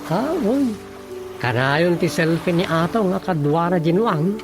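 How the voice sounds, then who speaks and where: Chatty village gossip over music. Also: female voice, on the low side, animated, a little slow. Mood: gossipy. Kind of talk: comedic radio drama acting. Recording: music bed behind voices.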